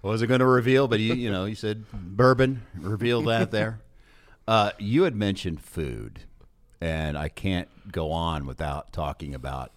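A man's voice: lowish voice, a little slow. An American man chatting casually as he hosts an interview, in a jokey manner with chuckles as he talks. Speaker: podcast host, sports broadcaster.

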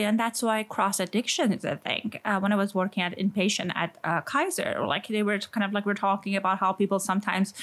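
A woman psychologist from Iran with an Iranian accent, answering interview questions thoughtfully, with many fillers as she talks. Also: mid-range voice. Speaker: psychologist and sex therapist. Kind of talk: podcast interview guest.

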